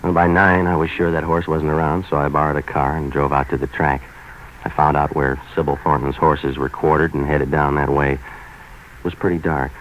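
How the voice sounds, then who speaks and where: An actor playing a tough, cynical waterfront fixer, deadpan and terse, in 1940s hardboiled radio drama. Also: male voice, very deep voice, normal speed, muffled recording. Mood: wry, world-weary. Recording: noisy vintage 1940s radio broadcast.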